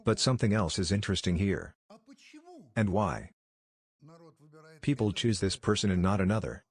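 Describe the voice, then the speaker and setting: Low-voiced man narrating. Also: moderate pace. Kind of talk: scripted political commentary narration.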